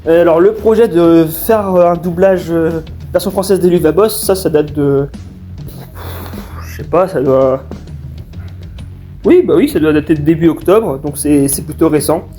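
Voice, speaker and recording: A young man explains a project informally in a slightly high voice. His tone is soft and mellow, and his delivery is halting, over faint music. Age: young adult. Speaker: fan dub creator. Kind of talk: informal question-and-answer explanation.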